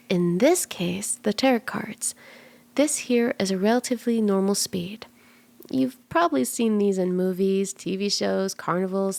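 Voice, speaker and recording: A woman voicing a flirty, soothing kitsune fortune teller in an ASMR roleplay, speaking gently and coaxingly, at times in breathy whispers. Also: medium pitch, no room sound, close to an everyday voice. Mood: gentle, instructive. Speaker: tarot reader.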